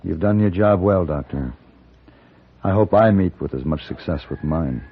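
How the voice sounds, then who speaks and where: Low-voiced steely Western gunman. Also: animated. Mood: calm. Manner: measured, terse, authoritative. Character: steely, commanding Western gunman, calm but firm. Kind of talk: 1950s radio Western acting. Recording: muffled, noisy old radio recording.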